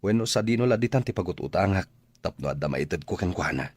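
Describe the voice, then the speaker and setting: A man playing a weary, caring working family man in an Ilocano radio drama, husky and a little grumbling. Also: low voice, animated, fast talker, a little mellow, no room sound.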